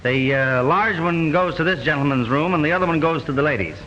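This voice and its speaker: Joking young detective, slightly high voice, breezy old radio play, light hiss. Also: male voice, lively intonation, fairly quick, a bit bright, long reverb tail. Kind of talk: 1940s radio acting. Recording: dull old radio recording.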